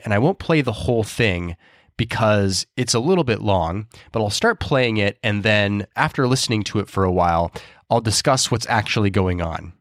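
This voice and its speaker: A man's voice: on the low side, a bit bright, no echo, clean studio sound. A man hosting a podcast in a casual, upbeat, chatty way, his voice a little stuffed up from illness. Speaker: podcast host.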